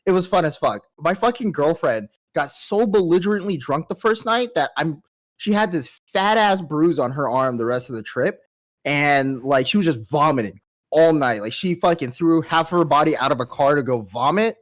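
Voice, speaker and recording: A man's voice: a little high, animated, moderate pace. Young male host, nasal voice, casual rambling storyteller. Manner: laid-back storytelling, heavy filler and swearing. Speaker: podcast host. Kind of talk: casual chat.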